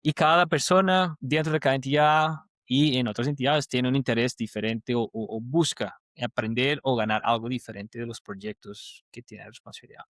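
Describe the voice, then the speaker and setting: A man's voice: medium pitch, fairly even intonation, moderate pace, few pauses, fairly bright tone, no echo. A man in his thirties from Portugal whose first language is Portuguese, talking at length as a podcast guest, fluent and reflective with some restarts. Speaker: guest, transport project leader. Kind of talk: conversational interview.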